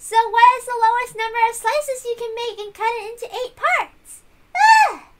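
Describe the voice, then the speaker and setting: A woman voicing an excitable, giggly little girl with a gleeful, exclaiming manner in a visual novel reading. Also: very high-pitched, moderate pace, voice pushed up. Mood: excited, childlike.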